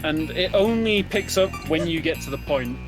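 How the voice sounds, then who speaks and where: A man giving casual live co-commentary on a speedrun, explaining in halting phrases and repeating words. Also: fairly high pitch, animated, low-quality recording. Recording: game music behind the voice. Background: video game music.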